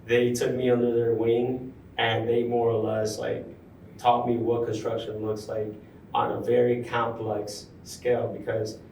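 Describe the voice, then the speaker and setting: A young man, a construction superintendent, talking through his career in a relaxed interview with many pauses and fillers. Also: medium pitch, slight background noise. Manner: thoughtful, frequent ums, restarts. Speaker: construction project superintendent, guest. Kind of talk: casual interview conversation. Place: large room at a university center. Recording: roomy, distant mic, faint ambience.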